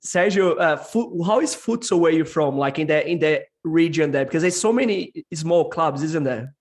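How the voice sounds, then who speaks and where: Upbeat higher-voiced male podcast host. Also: expressive, normal speed. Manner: enthusiastic, friendly questioning. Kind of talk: podcast interview.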